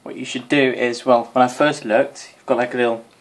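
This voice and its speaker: A man's voice: mid-range voice, fairly even intonation, a little fast. A young man giving a casual, rambling toy demonstration to camera, sounding tired, recorded on a mobile in a bedroom. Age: young adult. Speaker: vlogger. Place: small bedroom. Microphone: phone or camera at arm's length. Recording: faint noise, clicks, mobile recording.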